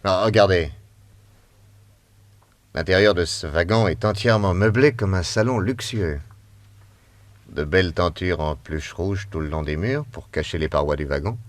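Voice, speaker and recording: An actor playing a shrewd, cool detective who teases and commands his companion in a radio drama, dropping to hushed tones at tense moments. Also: low-pitched, dry recording, natural voice. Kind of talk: vintage radio drama acting.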